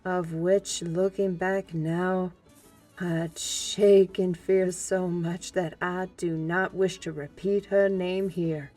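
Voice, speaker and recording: A woman playing an earnest former slave turned scholar in an audio drama, telling a life story in a grave, deliberate way. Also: fairly low pitch, level tone, dark tone, faint music behind. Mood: solemn, reflective.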